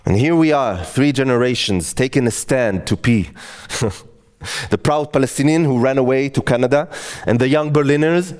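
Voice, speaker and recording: A man's voice: mid-range voice, moderate pace, darkish tone. A man in his forties from Israel, performing solo stand-up storytelling in a wry, self-mocking way. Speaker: comedian.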